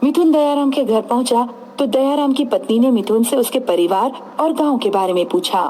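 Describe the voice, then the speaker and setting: High-voiced woman narrating horror stories. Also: moderate pace, dark tone, a little hiss or hum, poor audio. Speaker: storyteller. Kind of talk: scripted horror-story narration. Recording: music behind the voice.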